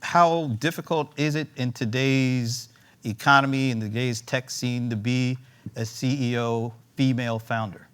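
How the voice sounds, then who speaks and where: A man's voice: medium pitch, fairly even intonation, a little slow, fairly bright tone, smooth. A man moderating a panel, playful and teasing with the panelists. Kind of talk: panel discussion.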